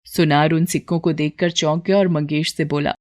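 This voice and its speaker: Lower-pitched woman narrating a story. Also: steady tone, moderate pace.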